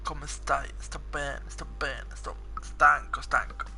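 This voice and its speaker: Bright-voiced young Swiss man streaming a game. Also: a little high, level tone, takes it slowly, gravelly, a little hiss or hum. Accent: Swiss German. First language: Swiss German and Italian. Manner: rambling, chatting with viewers, frequent fillers. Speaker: gaming streamer. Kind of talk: casual live-stream chat while gaming.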